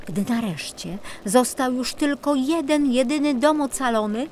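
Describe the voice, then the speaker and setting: A woman narrating a scripted children's fairy tale in an expressive, measured storytelling manner. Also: sits high, a little hiss or hum. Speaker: audiobook narrator.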